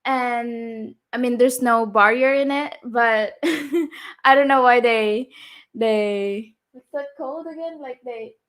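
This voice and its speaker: A woman's voice: on the high side, slow pace, a bit bright. A woman with a Filipino accent chatting casually as a co-host, hesitant and often searching for words. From Philippines. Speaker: vlog co-host. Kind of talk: casual unscripted chat.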